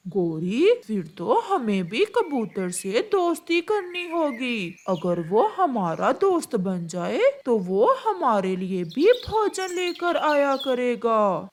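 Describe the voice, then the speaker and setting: A sly crow husband from a children's cartoon, acted by a woman, speaks slowly with big pitch swings. He is bossy and exclamatory, over clear background noise. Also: very animated. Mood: scheming. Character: scheming male crow, freeloading husband.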